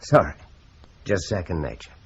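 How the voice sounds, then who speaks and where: An actor playing a shrewd, probing police investigator in a radio drama, measured and dry in manner. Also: male voice, sits low, big pitch swings, a bit bright, a little hiss or hum, exaggerated voice. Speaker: police detective investigating a murder. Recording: dull lo-fi radio recording.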